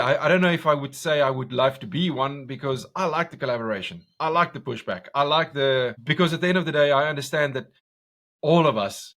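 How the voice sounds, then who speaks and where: A South African man with an Afrikaans accent, a guest in an interview, talking loudly and intensely with lots of restarts. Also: medium pitch. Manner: loud, intense, passionate, many restarts. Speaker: guest, commercial director. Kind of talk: casual interview conversation.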